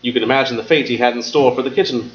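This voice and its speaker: Male actor as a calm doctor, expressive mid voice, slightly dull sound. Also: medium pitch, racing, slight background noise, natural voice. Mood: explaining. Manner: controlled, explanatory. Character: calm, composed asylum doctor, man. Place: stage before a live audience.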